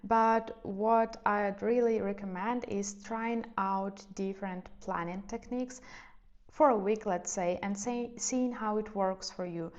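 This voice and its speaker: A young woman who is not a native English speaker, giving gentle, reflective advice in a casual vlog and often hedging with little fillers. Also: medium pitch, fairly even intonation, a little slow, highs cut off. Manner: soft, reflective, hedging with "let's say" and "I don't know". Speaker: lifestyle vlogger. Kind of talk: casual advice talk to camera.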